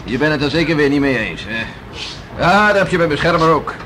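An actor playing a gruff, proud, teasing beachcomber father in a 1960s radio drama. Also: a little high, expressive, a little fast, close to an everyday voice. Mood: blustering, teasing. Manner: blustering, teasing. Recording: noisy 1969 radio play recording.

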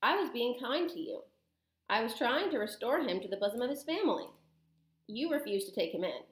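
A woman voicing a calm, shrewd old Norwegian grandmother in a storybook, matter-of-fact and slightly mischievous. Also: fairly high pitch, a little fast, reverberant, natural voice.

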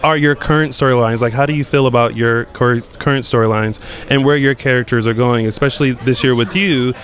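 A chatty man in his thirties leads the interview, eager and quick to agree, with a mid-pitched, even-sounding voice. He holds a handheld mic at an indoor event. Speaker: interviewer host. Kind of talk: casual interview chat.

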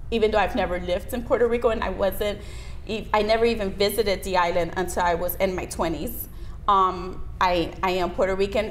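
Woman, noisy studio recording. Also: medium pitch. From Miami, United States. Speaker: interview guest, lawyer. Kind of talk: conversational interview answers. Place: small indoor video studio, seated at desk. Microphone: lapel mic.

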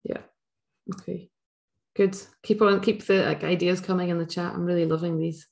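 A woman's voice: on the low side, fairly even intonation, moderate pace, creaky, a little mellow. A middle-aged woman with a Scottish accent presents a teaching webinar over a video call, explaining activities in a friendly way with many fillers. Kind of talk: live webinar talk. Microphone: webcam video call.

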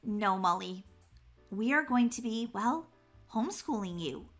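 A woman voicing a calm, gently firm mother in an acted children's family story, speaking in a measured way. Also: mid-range voice, soft background music.

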